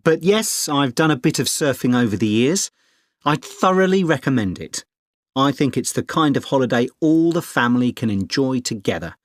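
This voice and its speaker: A man's voice: medium pitch, dry recording, clean studio sound, natural voice. A man acting a friendly, experienced middle-aged surfer dad giving informative, encouraging advice in a scripted exam dialogue.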